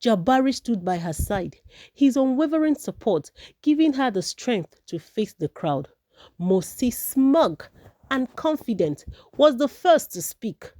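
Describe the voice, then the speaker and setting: A woman's voice: a little high, very animated, a little slow, mellow, no room sound. A woman narrating a folktale from a script as a storyteller.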